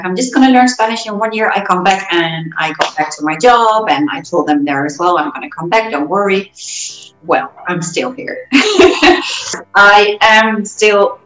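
A woman from the Netherlands, a guest telling her life story in an animated, self-correcting way. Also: mid-range voice, normal speed. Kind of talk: casual interview storytelling. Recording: roomy echo, faint music.

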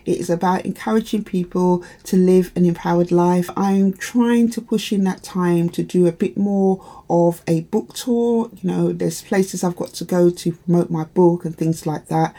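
A woman hosting her own podcast, slightly croaky from hay fever, talking in an encouraging, chatty way to her listeners. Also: lowish voice, steady tone, normal speed, hardly any pauses, warm tone. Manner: encouraging, chatty, many "you know" fillers. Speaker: podcast host and empowerment coach. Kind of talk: solo podcast talk to listeners.

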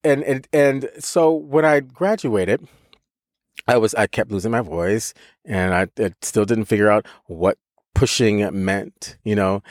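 A man co-hosting a podcast, telling a story in a lively, casual way, with frequent laughs, restarts and casual swearing. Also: lowish voice, measured pace, no echo, studio quality. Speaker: podcast co-host, voice teacher.

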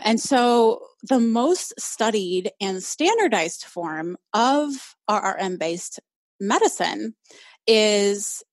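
A woman's voice: medium pitch, dry recording. Upbeat chatty woman podcast host. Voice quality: slightly nasal from allergies. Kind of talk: casual solo podcast.

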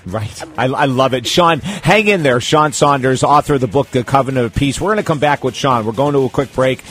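A man's voice: mid-range voice, brisk, a bit bright, soft background music. A man hosting a radio talk show, enthusiastic and speaking directly to his listeners. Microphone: studio microphone.

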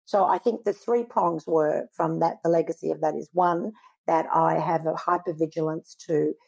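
A woman from Israel, a guest in an interview, giving earnest, measured answers and often pausing to search for words. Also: low voice, fairly even intonation, gravelly, a little mellow, breathy edge.

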